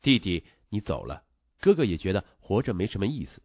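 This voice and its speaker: A man's voice: low voice, very animated, brisk, darkish tone, breathy edge, no room sound, studio quality, full character voice. Man voicing a timid, sorrowful young boy. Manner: timid, hesitant. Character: timid, guilt-stricken young boy, tender and sad.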